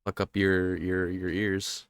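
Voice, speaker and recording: Congested young man, low smooth voice. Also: flat delivery, takes it slowly, no room sound. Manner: rambling, self-correcting, laughs often. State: congested, stuffy nose. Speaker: podcast co-host. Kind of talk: casual podcast chat.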